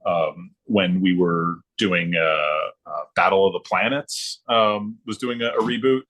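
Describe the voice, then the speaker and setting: A middle-aged man reminiscing casually, thinking aloud in a halting, informal way. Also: low-pitched, fairly even intonation, a bit bright. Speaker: guest, former comics production worker turned librarian.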